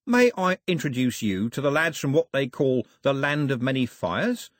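Male narrator as villainous sailor, mock-jolly, boastful, jeering, animated pitch, storybook. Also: normal speed, no echo, studio quality, lightly acted. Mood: theatrical, jovial. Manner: theatrical, jeering, colloquial patter. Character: swaggering villainous sailor, mockingly cheerful murderer turned king.

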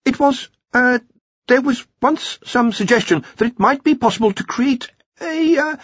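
A man's voice: very high voice, normal speed, a bit bright, breathy edge, dry recording, muffled recording, pitched above the performer's own voice, exaggerated voice. A man voicing an anxious young wizard scholar, hesitant and politely deferential, in a storybook reading.

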